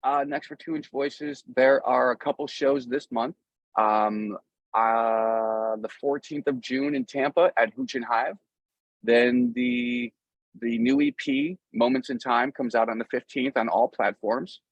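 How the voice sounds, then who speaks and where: A man talks casually as a guest musician in an interview, earnest and loose with plenty of slang. His pitch sits in the middle, and the recording is dull and dry, with little room sound. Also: unhurried, gravelly, no echo.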